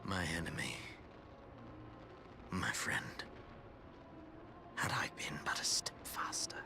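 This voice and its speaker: A man voicing a brooding, menacing warrior villain in a video game, speaking deliberately and breathily. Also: a bass voice, animated, whispered, full character voice. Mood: brooding regret. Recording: loud game music behind.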